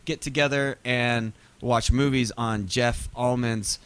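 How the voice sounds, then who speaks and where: A man's voice: mid-range voice, steady tone, fairly bright tone, smooth, dry recording. A man chatting casually as a musician guest, telling rambling, slangy anecdotes that he often interrupts himself. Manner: halting, self-interrupting, slangy.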